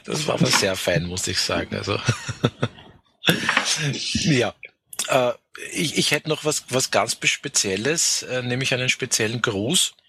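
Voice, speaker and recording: A man's voice: medium pitch, lively intonation, slow, pauses often, noisy background. A man with an Austrian accent co-hosting a podcast in casual chat, hesitant with many restarts. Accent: Austrian German. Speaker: podcast co-host.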